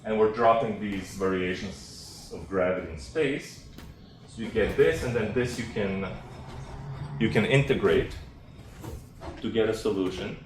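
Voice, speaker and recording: Low-voiced man lecturing in a roomy space. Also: unhurried, pauses often. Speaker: academic researcher presenting. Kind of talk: technical seminar lecture. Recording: roomy, distant mic, faint background noise.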